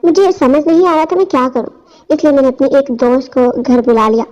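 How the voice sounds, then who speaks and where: A woman's voice: high voice, fairly even intonation, brisk, a little mellow. A woman reading a scripted first-person story as its narrator. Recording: dull, lo-fi audio.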